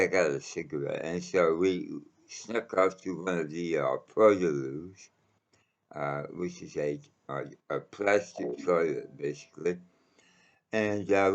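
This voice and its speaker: Very deep-voiced man, slow casual storyteller. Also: muffled recording. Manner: halting, rambling delivery with frequent pauses. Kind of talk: casual personal anecdote.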